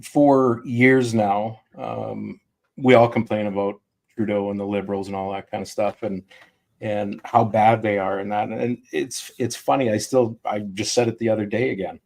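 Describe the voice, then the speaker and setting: Gravelly low-voiced blunt man. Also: fairly even intonation, moderate pace, a little mellow. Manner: blunt, swears freely, opinionated. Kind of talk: casual podcast chat. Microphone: remote call mic.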